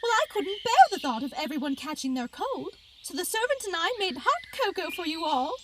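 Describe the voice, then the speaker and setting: A woman's voice: very high-pitched, sing-song, fairly quick, very noisy. A woman playing a sweet, doting quilt doll lady with gushing, singsong delivery in a fantasy audio drama.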